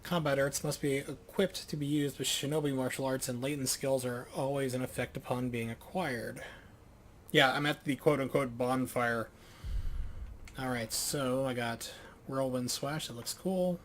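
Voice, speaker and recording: A man plays through a game on stream and reads the on-screen tutorials aloud. He stumbles and corrects himself as he reads, with his voice at an ordinary middle pitch. A faint hum sits behind him. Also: steady tone. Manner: self-correcting, halting reading aloud. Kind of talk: thinking aloud while reading game tutorials.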